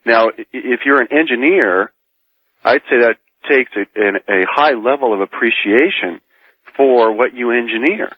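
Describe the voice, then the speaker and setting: A man's voice: mid-range voice, normal speed, no room sound. An American man calling in to a radio debate by telephone, argumentative and probing with pointed questions. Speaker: caller debating on a radio show. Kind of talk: live phone-in debate. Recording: muffled phone call over old radio.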